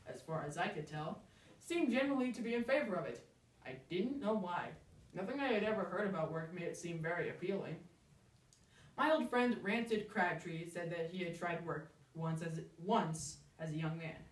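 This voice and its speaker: A storyteller delivers comic first-person narration with theatrical emphasis, at a middling pitch. The voice sits a bit back from the microphone in a roomy, echoing space. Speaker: storyteller reciting a humorous story. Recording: echoey room, distant microphone.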